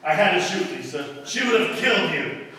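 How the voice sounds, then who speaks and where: A man's voice: high voice, expressive, brisk, a crowd in the background. A man playing a fed-up husband in a 1940s-style radio drama, with an urgent, clipped delivery. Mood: tense. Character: fed-up scheming husband caught between wife and mistress. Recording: distant, echoey hall, background noise.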